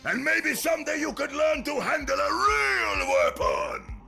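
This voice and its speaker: An actor playing a huge, boastful old warrior from a video game, hearty, indignant and bombastic. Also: male voice, wildly swooping pitch, a little slow, vocal fry, sharp tone, shouting, soft background music, heavily acted. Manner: booming, bombastic. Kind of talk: video game character voice lines.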